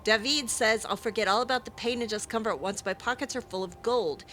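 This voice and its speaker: A woman reading out a grumbling male bandit's journal, in a gruff, complaining way. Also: fairly high pitch, fairly quick, a bit bright, faint music behind, close to an everyday voice. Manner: gruff complaining, swears "bleeding".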